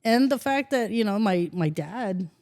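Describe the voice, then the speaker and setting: A middle-aged woman from the Philippines chatting on a podcast. Her voice is mellow and warm at a middling pitch. She tells stories in an animated way, acts out what people said and swears casually. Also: measured pace. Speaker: guest, host's mother.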